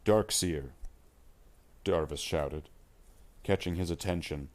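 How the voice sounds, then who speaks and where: A man reading scripted dramatic fiction as a narrator, with measured and deliberate phrasing. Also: low-pitched, steady tone, darkish tone.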